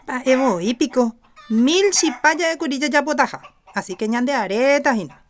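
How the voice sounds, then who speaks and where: An actress playing a brisk village neighbour woman, eager to get working, in a radio soap opera. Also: high voice, lively intonation. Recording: faint farmyard noise, clucking hens.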